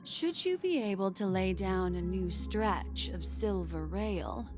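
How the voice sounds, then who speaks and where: Game role: enigmatic female memory keeper, unhurried, sly and condescending, music behind. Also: medium pitch, animated. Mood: mysterious, solemn. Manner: measured, mysterious, softly condescending. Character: poised, enigmatic woman keeper of memories, gently teasing. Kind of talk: English game voice acting. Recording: voice over loud game music.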